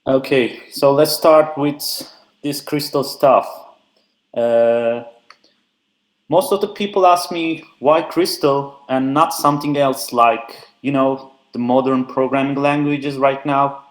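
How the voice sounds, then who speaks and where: Young man, relaxed chatty speaker, lots of filler words, echoey remote call audio. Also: mid-range voice, takes it slowly. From Turkey. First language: Turkish. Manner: frequent "uh" fillers, loose and chatty. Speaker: conference speaker. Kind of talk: casual technical talk.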